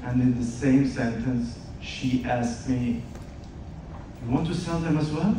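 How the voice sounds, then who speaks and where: A man gives an earnest motivational talk and speaks straight to the crowd. His voice sits in the middle range. It carries from away from the mic through a big, echoing hall with a steady hiss behind it. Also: mid-range voice, a little slow. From Switzerland. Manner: earnest storytelling, direct address to audience. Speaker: speaker at an event, podcast host. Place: large event hall. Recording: distant echoey hall with noise.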